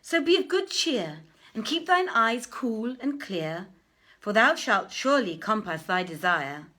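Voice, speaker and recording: Royal vizier, voiced by a woman, patient counsellor, lifted pitch, slow emphatic encouragement, storybook. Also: sing-song, bright, forceful tone, full character voice. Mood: encouraging. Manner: emphatic, exhorting. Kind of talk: storybook character reading.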